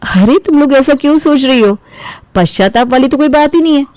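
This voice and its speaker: A woman's voice: sits high, animated, a little fast, a little mellow, no echo, voice pushed up. A woman voicing a good-hearted, trusting mother and elder sister-in-law in an affectionate, effusive family cartoon story. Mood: humble, moved.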